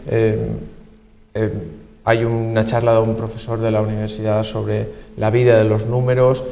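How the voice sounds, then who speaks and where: A man with an accent from Spain giving halting, explanatory answers in a conversational interview. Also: lowish voice, monotone, warm tone. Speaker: university research deputy director, interviewee. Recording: long hall reverb.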